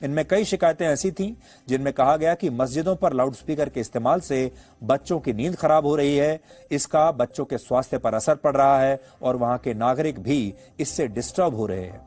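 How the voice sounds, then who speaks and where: A man's voice: a little high, a little mellow. A man presenting as a news anchor, delivering an editorial commentary in an emphatic, rhetorical, explanatory manner.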